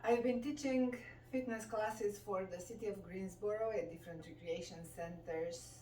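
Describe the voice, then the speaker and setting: A woman from Romania with a Romanian accent, a fitness instructor guiding a qigong class with calm cues timed to the breath. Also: medium pitch, moderate pace, slight background noise. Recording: roomy sound from a distant mic.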